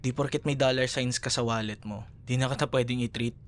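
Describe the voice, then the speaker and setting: A man's voice: mid-range voice, steady tone, brisk, slight background noise, lightly acted. A Filipino man playing a chatty, teasing café worker in a casual, naturalistic boyfriend roleplay audio. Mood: playful, teasing. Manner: chatty, playful, casual conversational.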